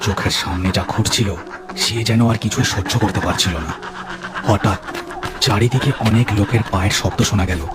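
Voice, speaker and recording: A man with a Bengali accent narrating a scripted horror story in a measured, suspenseful, dramatic way. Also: lowish voice, fairly even intonation, very noisy. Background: music.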